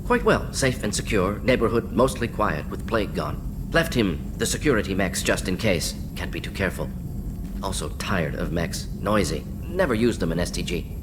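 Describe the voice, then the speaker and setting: An actor playing a brisk, eager alien scientist in a video game, talking in clipped, telegraphic, analytical bursts. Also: male voice, deep voice, lively intonation, noisy background. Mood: eager, upbeat. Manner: clipped telegraphic sentences, rapid-fire, analytical. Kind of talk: video game character dialogue. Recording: game audio over humming ambience.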